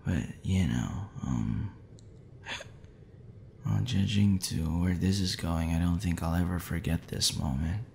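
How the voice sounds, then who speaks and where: A man playing a tipsy, smitten young man in an intimate ASMR roleplay, shy, tender and halting in a hesitant murmur. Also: deep voice, creaky, a little mellow, slight background noise, voice pushed down. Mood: wistful, tender.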